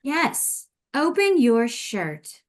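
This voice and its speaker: A woman playing a brisk, commanding nurse in an audio play, deadpan, clipped and formal. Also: sits high, full character voice. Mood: commanding, clinical.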